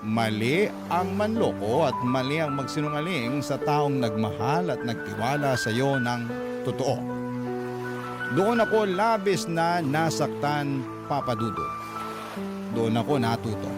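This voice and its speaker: A man reading a listener's letter as a radio host, in dramatic scripted storytelling style. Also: mid-range voice. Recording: loud music under the voice. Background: loud music.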